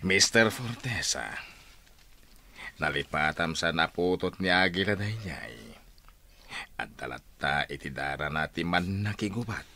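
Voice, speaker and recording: An actor playing a stern, commanding army general in an Ilocano radio drama, speaking with reproach. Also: male voice, low voice, animated, a little slow, sharp tone, exaggerated voice. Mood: reproachful.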